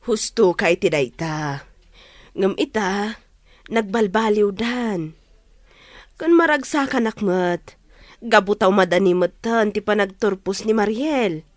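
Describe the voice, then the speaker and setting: A woman plays a calm, conciliatory character in a family audio drama. She speaks slowly and evenly in an Ilocano accent, recorded dry. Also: medium pitch, no room sound, close to an everyday voice.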